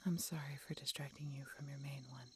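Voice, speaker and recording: A woman voicing a tender, regretful, dying she-dragon in an audio roleplay, breathy and whispery, speaking haltingly with weak, labored breath. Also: rumbling low, steady tone, darkish tone, slight background noise. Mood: sorrowful, apologetic. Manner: slow, halting, labored speech with pauses and gasps. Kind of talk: audio roleplay, intimate dramatic monologue.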